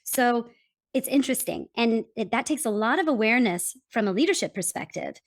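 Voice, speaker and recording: Animated American woman, fairly high voice. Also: brisk, no echo. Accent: General American. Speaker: guest author and communication consultant. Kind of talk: interview answers.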